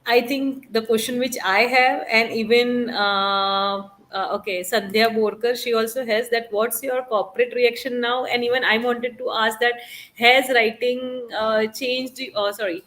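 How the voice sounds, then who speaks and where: A woman's voice: a little high, fairly even intonation, measured pace, a bit bright. A woman hosting a book club chat, relaying audience questions with many fillers. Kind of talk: online book club interview.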